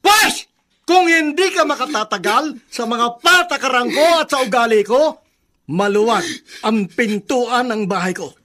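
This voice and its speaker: A man's voice: very high voice, animated, measured pace, bright, forceful tone, full character voice. A man acting a cruel, hot-tempered, domineering uncle in a melodramatic radio drama, scolding harshly and insultingly. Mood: scornful anger.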